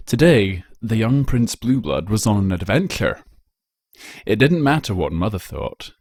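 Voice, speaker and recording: A man reading a scripted story aloud as narrator, in a measured, dramatic storytelling manner. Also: fairly low pitch, sing-song, a little mellow, no room sound, studio quality. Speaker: narrator, fan fiction reader.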